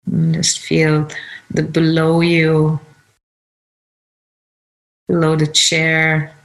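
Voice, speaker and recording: A woman guides a meditation in a fairly low voice with plenty of gravelly creak. She speaks very slowly, offering short, unhurried instructions and leaving space between phrases. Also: completely flat delivery, poor audio.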